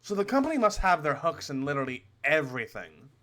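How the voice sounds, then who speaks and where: A man's voice: fairly high pitch, very animated, quick pace, bright, forceful tone. A young man giving casual reaction commentary as a streamer, joking, swearing and musing aloud.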